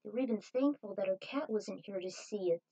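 A woman's voice: medium pitch, brisk, warm tone, low-quality recording. A woman reading fan fiction aloud as an amateur narrator. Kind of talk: amateur story narration with acted dialogue.

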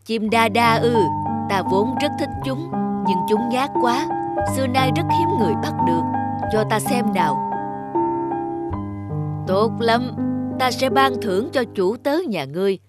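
A woman's voice: a little high, expressive, unhurried, pauses often, loud music behind the voice. A woman voicing a genial, lordly male king in a fairy-tale cartoon, with a regal, commanding manner. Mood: pleased. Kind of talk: animated fairy-tale dubbing.

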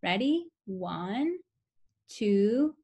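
A young woman reading a picture book aloud to toddlers as a preschool teacher, with simple, expressive phrasing and questions for the listeners. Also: medium pitch, drawn-out words, husky. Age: young adult. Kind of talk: children's story read-aloud.

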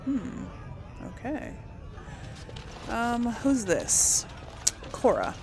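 A woman's voice: fairly high pitch, wildly swooping pitch, very slow, darkish tone, faint music behind. A woman hosting a casual let's-play, reading lore entries aloud and thinking aloud with many ums.

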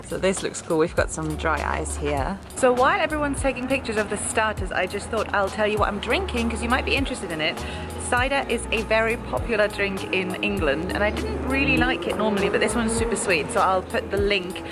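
A woman's voice: medium pitch, expressive, fairly quick. A young German woman with a German accent, chatting enthusiastically to a handheld camera as a food vlogger. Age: young adult. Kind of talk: upbeat vlog narration to camera. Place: bedroom, bus, street and restaurant. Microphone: handheld camera at arm's length. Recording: music playing underneath. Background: background music.

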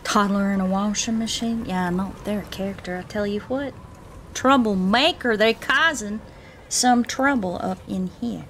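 A woman's voice: mid-range voice, normal speed, fairly bright tone, a little hiss or hum. A woman livestreamer with a Southern US accent, joking playfully in a folksy drawl as she comments on a game. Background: game sounds.

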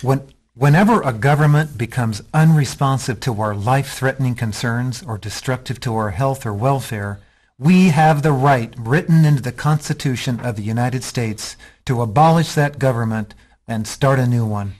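A man's voice: mid-range voice, normal speed, a little mellow, lightly acted. A man playing an earnest, defiant activist rebel in a radio drama, arguing insistently.

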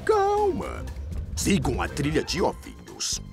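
A performer voicing a kindly, theatrical grown-up male motorcycle mentor in a children's cartoon, gravelly and instructing. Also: medium pitch, wildly swooping pitch, takes it slowly, full character voice. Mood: jovial explaining. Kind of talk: animated children's cartoon dub. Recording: music behind the voice.

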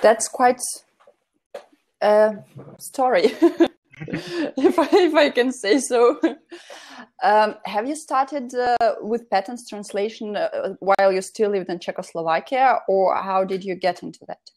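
A woman's voice: mid-range voice, slow, a little mellow. A young woman hosting a talk show over a video call, friendly and full of fillers. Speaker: host. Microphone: video call with earphones.

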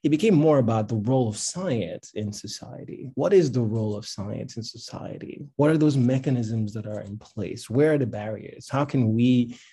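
A middle-aged man from Tanzania with a dark, mellow voice and a husky grain. He talks conversationally, as a workshop speaker, and encourages his listeners, doubling back on phrases to press them home. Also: mid-range voice, normal speed, few pauses. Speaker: speaker, founder of a science advocacy institute. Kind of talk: informal workshop talk with slides. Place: indoor room with bookshelves, on a video call.

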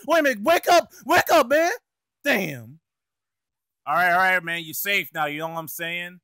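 A man's voice: high voice, expressive, moderate pace, pushed and bright, dry recording, clean studio sound, exaggerated voice. A man voicing a streetwise, exasperated cartoon mouse, slangy, cursing and scolding, in an amateur comedic fandub. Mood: alarmed, concerned.

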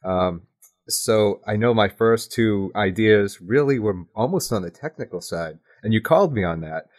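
Man, low-pitched voice, interview guest, reflective, casual, modest, candid, slightly halting delivery. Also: darkish tone. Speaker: podcast guest, audio drama writer.

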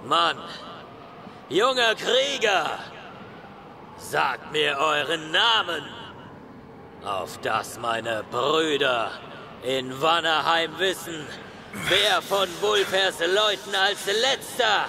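An actor playing a proud, menacing northern warrior, declaiming threats in a fantasy audio drama. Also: male voice, on the high side, lively intonation, very slow, pauses often, background noise, full character voice. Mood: proud challenge.

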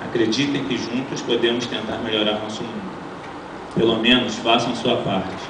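A middle-aged man, a teacher, giving a formal graduation speech from a lectern in a large auditorium, earnest and measured as he reads from his notes. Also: lowish voice, fairly even intonation. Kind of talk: formal read-out ceremonial speech. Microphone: stand mic at lectern, filmed from the audience. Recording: echoey auditorium, from far off, noisy.

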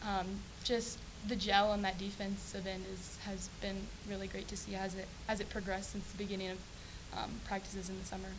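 A woman's voice: medium pitch, level tone, brisk, hardly any pauses, noisy background. A young woman, a freshman basketball player, answering post-game questions earnestly at a table mic with many ums. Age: young adult. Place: press room with sponsor backdrop. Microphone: table mic on stand.

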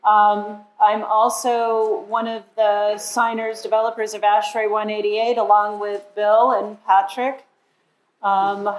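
A middle-aged woman introducing herself at a seminar podium in a friendly, loud voice. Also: mid-range voice, rough recording. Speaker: seminar presenter. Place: university lecture hall. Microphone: podium microphone.

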